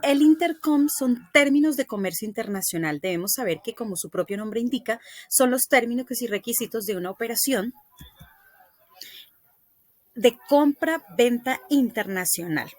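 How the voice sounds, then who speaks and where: A woman's voice: on the high side, animated, normal speed, dry recording. A woman presenting a scripted explanatory lesson, reading aloud in a didactic way with occasional stumbles.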